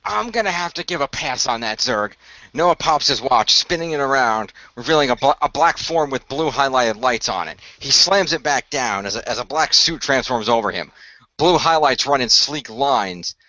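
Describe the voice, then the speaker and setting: A man's voice: medium pitch, expressive, normal speed, bright, forceful tone, dry recording, lo-fi sound. A man improvising narration and in-character lines for a hobby radio play.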